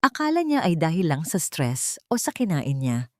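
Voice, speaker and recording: A woman with a Filipino accent narrating a romance drama in an emotive, expressive storytelling manner. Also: deep voice, moderate pace, no room sound, studio quality.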